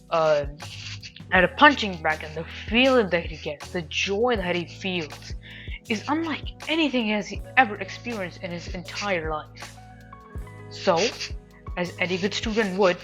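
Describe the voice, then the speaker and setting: A woman giving an informal spoken review in a halting, emphatic way, repeating phrases as she goes. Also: fairly low pitch, a bit bright. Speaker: anime reviewer.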